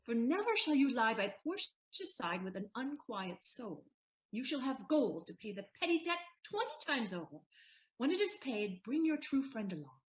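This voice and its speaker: A woman's voice: fairly high pitch, poor audio. An actress playing a commanding, devoted and generous lady in a Shakespearean audio play, theatrical and decisive.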